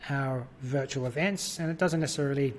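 A man's voice: medium pitch, brisk, slight background noise. Australian man explaining in an interview. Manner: explanatory, with frequent fillers and light laughs. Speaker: guest, virtual events business owner. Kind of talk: conversational interview.

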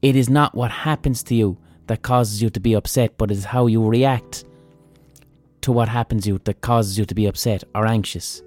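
An Irish man talks through his views in a casual solo monologue. He is blunt, swears freely and works his thoughts out aloud. His voice is dark and mellow, recorded dry and close over faint music. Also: on the low side, steady tone, moderate pace, dry recording. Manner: blunt and profane, thinking aloud. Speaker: podcast host.

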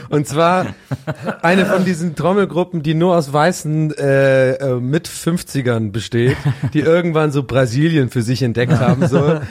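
A man's voice: a little high, moderate pace. A man with a Cologne accent, co-hosting a podcast in casual, jokey chat and telling stories with animation. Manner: jokey, animated storytelling with laughs. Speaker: podcast co-host.

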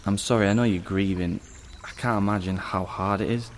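A man's voice: fairly low pitch, fairly even intonation, a little fast, a little hiss or hum, lightly acted. An actor playing a restless, caring teenage boy in a naturalistic audio drama. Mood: sympathetic.

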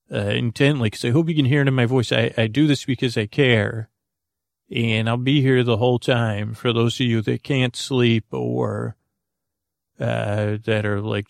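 A man hosting a sleep podcast, rambling in a halting, meandering, goofy way with many tangents and false starts. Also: mid-range voice, creaky, dry recording, studio quality. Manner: goofy, whimsical. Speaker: podcast host.

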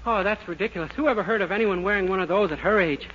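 A man's voice: high-pitched, racing, close to an everyday voice. An actor playing a naive, polite and easily confused young man in a 1940s radio comedy, earnest and literal-minded. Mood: cheerfully oblivious. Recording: muffled, very noisy old radio recording.

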